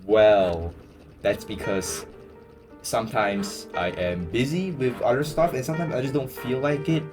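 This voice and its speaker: A man's voice: mid-range voice, steady tone, unhurried. A young man from Singapore, chatting casually and jokingly as he answers viewer questions, full of slang and fillers. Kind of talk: casual Q&A chat. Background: guitar music.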